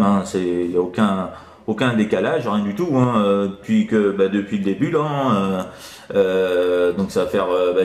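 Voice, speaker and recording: A man chats casually as a CB radio operator, hesitant and rambling with frequent tag words. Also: low-pitched. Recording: roomy echo around the voice.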